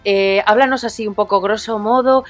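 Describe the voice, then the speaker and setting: A woman's voice: mid-range voice, steady tone, moderate pace, faint music behind. A woman hosting an interview, friendly and rambling in her questions. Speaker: host.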